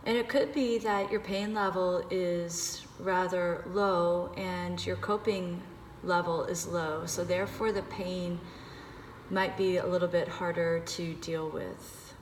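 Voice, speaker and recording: Woman, lowish voice, meditation guide, gentle unhurried instruction, pauses, very echoey recording. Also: steady tone. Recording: echoey reverberant room with background noise.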